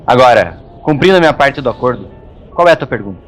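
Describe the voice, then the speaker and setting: A man's voice: mid-range voice, sing-song, quick pace, fairly bright tone, soft background music. Man acting out a grumpy, scornful hermit monk. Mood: gruff, conceding. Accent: Brazilian. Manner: gruff, scornful, exasperated. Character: grumpy, insulting hermit monk with magic powers. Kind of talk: audio drama acting.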